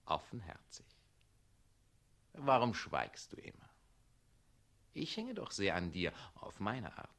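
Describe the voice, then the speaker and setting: An actor playing a scheming, oily official who feigns brotherly sympathy in a 1960s radio play, with an ingratiating, insinuating manner. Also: male voice, sits low, vocal fry, a bit bright, full character voice. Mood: coaxing.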